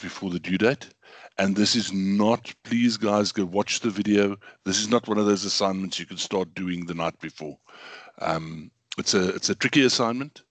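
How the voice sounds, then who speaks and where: Male lecturer, hoarse, lowish voice, casual with fillers, dry close computer mic. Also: no room sound. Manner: casual, filler-heavy, repeats words. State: hoarse from bronchitis. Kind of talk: recorded online lecture.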